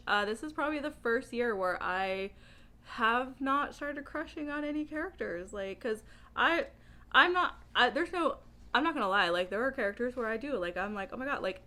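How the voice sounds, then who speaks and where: Woman book vlogger, bright forward voice, animated casual chat, informal and emphatic, giggly delivery. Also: fairly high pitch. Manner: giggly, laughs often while talking. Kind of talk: casual chatty video monologue.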